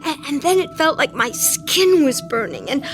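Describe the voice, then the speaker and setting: Feisty old farm wife, fairly high voice, plain-spoken, wry, defiant, audio drama, music underneath. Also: female voice, very animated, normal speed. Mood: pained, distressed. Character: feisty, stubborn old country wife, hurt but defiant.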